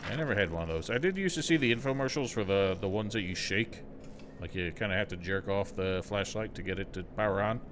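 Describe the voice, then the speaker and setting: A man's voice: fairly low pitch, fast talker, noisy background. A man giving casual, wry and sceptical running commentary on a video game livestream.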